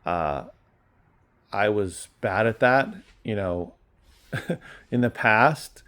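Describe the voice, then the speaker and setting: A man with a fairly low voice talks in a casual, unscripted way. He rambles through his thoughts as a small-time reseller, wandering into asides and letting sentences trail on. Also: animated, very slow, fairly bright tone. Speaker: online reseller and bookstore owner. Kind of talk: casual chat.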